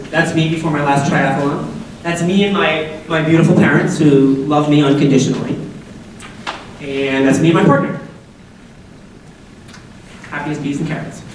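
Deep-voiced lady talking to a school crowd, upbeat, chatty, sounds far off in a big echoey auditorium. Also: a little hiss or hum. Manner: upbeat, chatty, asks the audience questions. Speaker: guest speaker at a school assembly. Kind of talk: lively talk to students.

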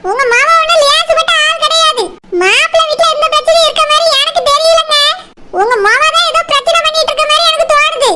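Squeaky, rapid, scolding village mother. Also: pushed and bright. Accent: Kanyakumari Tamil. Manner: nagging, accusatory, rapid complaints. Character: scolding, stubborn village mother, middle-aged. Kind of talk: amateur village cartoon dialogue.

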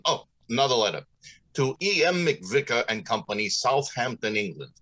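A man's voice: mid-range voice, a bit bright, poor audio. A middle-aged man playing a pompous, courteous factory general manager in old-time radio drama style, theatrical and businesslike. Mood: brisk, businesslike. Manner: theatrical, businesslike, hesitating with ums.

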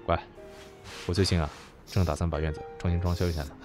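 A man's voice: sits low, flat delivery, quick pace, music in the background, close to an everyday voice. A man acting a caring, protective young man in an amateur Chinese audio drama. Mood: soothing. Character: caring young man, protective older-brother type, worried but doting.

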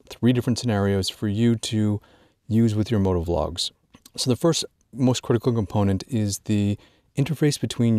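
A man presenting an informal instructional product walkthrough, drawing out key words in a measured way for emphasis. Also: fairly low pitch, a little mellow, no echo, clean studio sound. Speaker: gear tutorial presenter.

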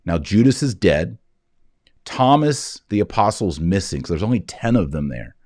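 A man in his forties reading scripture and explaining it in an earnest, emphatic way with reflective pauses. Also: sits low, big pitch swings, a little mellow, dry recording, studio quality. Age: 40s. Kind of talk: scripture reading with devotional commentary. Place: indoors, wood-panelled office, seated at a desk.

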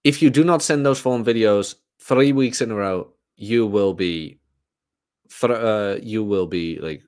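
A man's voice: lowish voice, measured pace, darkish tone. A man in his thirties giving a casual, informal livestream monologue as a fitness coach, rambling and emphatic. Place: small room at home, indoors.

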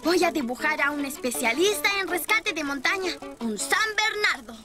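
A woman's voice: sits high, animated, moderate pace. A woman voicing a cheerful, helpful young rabbit boy who encourages and explains in a children's cartoon. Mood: encouraging. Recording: music behind the voice.

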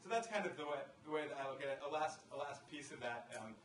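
A man in his thirties speaking as a panelist, talking in a halting way with many fillers into a table microphone in a college conference room. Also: fairly high pitch, lively intonation, a little hiss or hum. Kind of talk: conversational panel remarks. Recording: echoey room, picked up from a distance.

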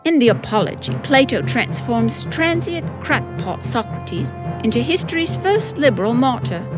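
A woman's voice: on the high side, big pitch swings, moderate pace. A woman reading scripted comic narration with theatrical, wry emphasis. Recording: music behind the voice.

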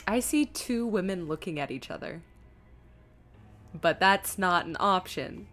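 A woman giving casual live game stream commentary, chatty and thinking out loud as she reads things aloud. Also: lowish voice, expressive, a little slow, faint music behind.

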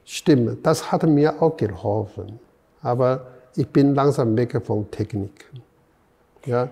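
Slow, gravelly older man, halting. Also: mid-range voice, warm tone, long reverb tail. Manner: halting, searching for words, many fillers. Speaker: artist guest. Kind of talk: halting interview answers. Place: museum gallery room.

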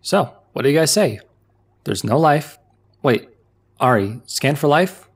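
A man's voice: on the high side, animated, unhurried, husky, exaggerated voice. A man playing a level-headed, inquiring space crew member in an audio drama.

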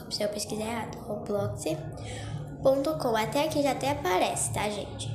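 A woman's voice: a little high, moderate pace. A young woman with a Brazilian Portuguese accent, chatty and a little congested from a cold, walking viewers through a phone game tutorial. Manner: chatty, keeps saying "gente" and "tá?". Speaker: Roblox tutorial youtuber. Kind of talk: casual screen-recorded tutorial. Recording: echoey room with music behind.

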